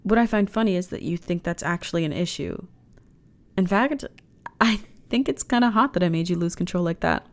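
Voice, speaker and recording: A woman's voice: fairly low pitch, brisk, lightly acted. A woman playing a flirty, reassuring alien starship officer in an intimate ASMR roleplay, talking in a chatty, teasing way. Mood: amused, flirty. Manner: teasing, chatty, conversational with sighs and soft laughs.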